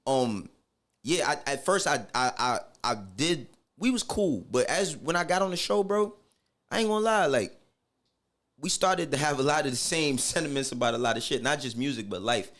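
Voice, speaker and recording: A man's voice: mid-range voice, animated, moderate pace, a bit bright. A middle-aged man with a New York accent, speaking candidly and casually as an interview guest in slangy, emphatic phrases that often check the listener follows. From Harlem, New York, United States. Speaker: podcast guest.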